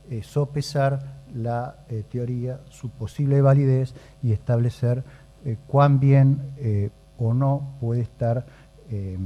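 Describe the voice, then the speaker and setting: A man with an Argentine Spanish accent lectures at a round table in a mid-range voice. He lays out his points step by step and pauses often on a drawn-out "eh". The recording sounds muffled and lo-fi. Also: mid-range voice, warm tone. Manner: measured, methodical, frequent "eh" pauses. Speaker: academic speaker at a round table. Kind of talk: formal academic lecture.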